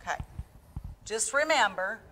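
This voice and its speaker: A woman's voice: mid-range voice, lively intonation, unhurried, pushed and bright. A woman playing a folksy, chatty Midwestern housewife who hosts a comedic live cooking show, addressing folks directly. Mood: folksy, instructive.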